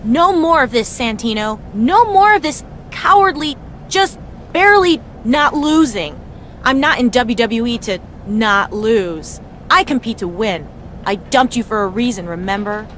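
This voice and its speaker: An actress playing an exasperated, competitive wrestler who scolds bluntly in a video game cutscene. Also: female voice, fairly high pitch, expressive, unhurried, fairly bright tone, a little hiss or hum. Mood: scornful.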